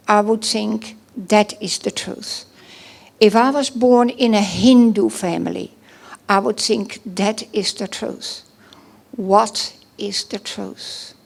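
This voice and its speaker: Slow, gravelly Dutch-accented woman. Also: mid-range voice. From Netherlands. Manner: slow, halting, with many pauses and restarts. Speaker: church member giving testimony. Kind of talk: personal testimony at a church service.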